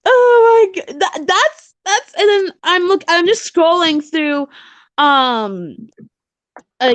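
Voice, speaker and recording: A woman with a high voice talks casually as a co-host, reading from articles along the way. Her delivery is halting, with phrases repeated and restarted as she goes. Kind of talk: casual chat, reading blog posts aloud.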